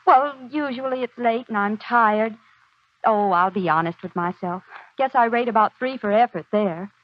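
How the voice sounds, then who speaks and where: An actress playing a chirpy young housewife musing to herself in a 1940s radio advertisement, perky and confiding. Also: female voice, a little high, moderate pace, dry recording, natural voice. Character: chirpy, self-appraising young housewife at her mirror. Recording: dull, dry 1940s radio broadcast.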